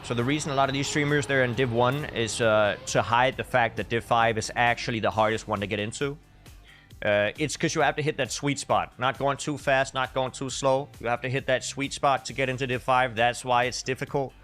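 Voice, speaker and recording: A man's voice: medium pitch, fairly even intonation, fairly quick, a bit bright. A man, chatting casually and commentating as a live esports caster, with dry, tongue-in-cheek humour. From Denmark. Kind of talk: casual live stream chat with game commentary. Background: music.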